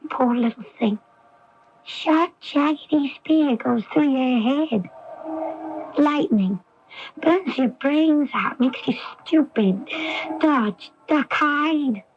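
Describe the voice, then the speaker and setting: An actress playing a troubled, frightened young woman with a childlike air, speaking haltingly in a radio drama. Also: on the high side, husky. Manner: halting, dreamy, hushed delivery. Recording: dull 1980s AM radio recording, music behind. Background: music.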